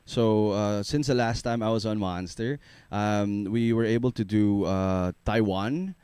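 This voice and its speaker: A young man from the Philippines with a low baritone voice, chatting in a relaxed, rambling way as a singer-songwriter guest in a radio studio. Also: a little slow, clean tone, dry recording. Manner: relaxed, rambling, filler-heavy, laughs easily. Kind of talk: casual radio interview chat.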